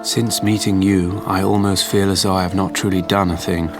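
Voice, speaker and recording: Man playing low-voiced, earnest Victorian diarist. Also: flat delivery, natural voice. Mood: tender, reflective. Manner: measured, formal. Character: earnest, devoted young Victorian traveller keeping a diary. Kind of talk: audio drama diary narration. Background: music.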